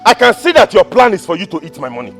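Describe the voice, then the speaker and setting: A man's voice: high voice, big pitch swings, quick pace, soft background music, exaggerated voice. A man playing an indignant Nigerian man. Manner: protesting loudly, pleading. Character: indignant man demanding his money. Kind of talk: Nollywood drama acting.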